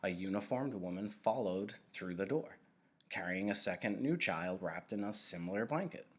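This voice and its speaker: Low-voiced man reading a storybook aloud. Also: expressive, moderate pace. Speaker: teacher reading a novel aloud. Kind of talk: storybook narration, read aloud.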